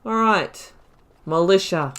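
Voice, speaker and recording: A woman's voice: on the low side, slow, fairly bright tone. A woman giving casual, reflective, rambling commentary as she hosts a video game playthrough. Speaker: gaming video host.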